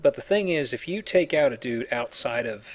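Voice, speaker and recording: A man's voice: mid-range voice, lively intonation, normal speed. A man giving casual, joking commentary on a video game as he plays and explains it. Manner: joking, colloquial. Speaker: video game let's player.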